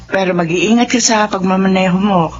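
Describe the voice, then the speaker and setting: A woman's voice: mid-range voice, fairly quick, lo-fi sound, a little hiss or hum, lightly acted. An actress playing a caring middle-aged mother and wife in a radio drama.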